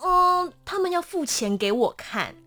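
A woman's voice: extremely high pitch, big pitch swings, unhurried, pushed and bright, soft background music. A woman with a Taiwanese Mandarin accent chatting as an interview guest in a playful, theatrical manner. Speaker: guest, voice actor. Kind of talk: lively interview chat.

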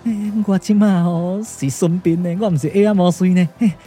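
A man's voice: sits high, fairly even intonation, normal speed, dark tone, faint music behind, lightly acted. A man voicing a cowardly comic impostor, muttering and whining nervously in a Taiwanese puppet radio drama. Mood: frightened muttering. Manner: muttering, chattery, whiny. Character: cowardly comic male impostor disguised as a strategist.

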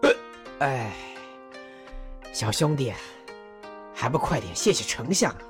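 A woman's voice: rumbling low, sing-song, measured pace, soft background music, exaggerated voice. A woman playing a jovial, teasing male warlord chancellor in an audio drama, chuckling and mock-stern. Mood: fatherly.

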